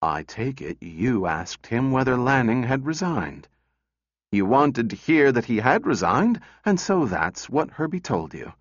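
A man's voice: mid-range voice, sing-song, measured pace, pitched above the performer's own voice. A man voicing a sardonic, overwrought woman scientist in a storybook reading, sneering and intense. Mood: sardonic, insistent. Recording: echoey room, dull muffled sound.

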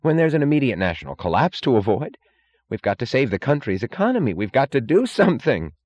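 A man's voice: mid-range voice, big pitch swings, moderate pace, a little mellow, lo-fi sound, voice pushed up. A man voicing an anxious, evasive official who talks in a flustered, urgent way. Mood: urgent, agitated.

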